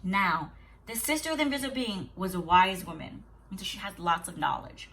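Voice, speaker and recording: A woman teacher reading a story aloud to young children in an expressive, deliberate, instructive way with dramatic pauses. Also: fairly low pitch, gravelly. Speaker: kindergarten teacher.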